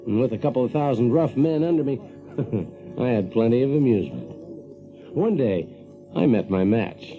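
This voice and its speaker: A man's voice: mid-range voice, normal speed, phone-quality sound, music in the background. An actor playing a brash, practical Yankee factory man who tells his tale in a folksy, chuckling way for an audio drama. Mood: amused, boastful. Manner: folksy, chuckling, animated, drawling emphasis. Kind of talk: audio drama first-person storytelling.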